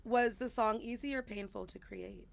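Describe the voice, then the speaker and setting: A woman's voice: on the high side, fairly even intonation, normal speed, gravelly. A woman hosting a podcast interview who speaks in an upbeat, encouraging way with casual slang. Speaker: podcast host.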